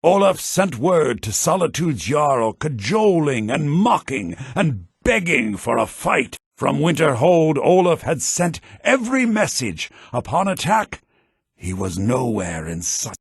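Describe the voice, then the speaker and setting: An actor playing a scholarly, theatrical headmaster of a bards' college in a fantasy video game, speaking in a formal, declamatory manner. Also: male voice, a little high, very animated, a little slow, creaky, slightly rough, no room sound, clean studio sound, full character voice. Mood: grand recitation. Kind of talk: fantasy video game character dialogue, with verse recitation.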